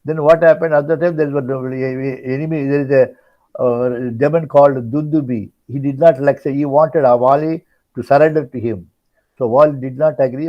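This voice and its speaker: Older male spiritual teacher, Indian accent, mid-pitched voice, halting and repetitive religious storytelling, captured in dull lo-fi audio. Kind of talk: informal religious discourse retelling an epic.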